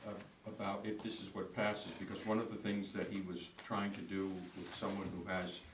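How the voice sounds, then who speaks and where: Low voice guy off-mic in echoey meeting room, halting, noisy. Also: level tone, distant mic. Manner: halting, hesitant, with pauses. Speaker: meeting participant raising concerns. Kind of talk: public meeting discussion.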